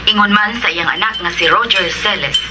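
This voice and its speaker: A woman's voice: mid-range voice, brisk, lightly acted. A woman playing a formal radio news anchor announcing results in a radio drama. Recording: noisy radio broadcast sound.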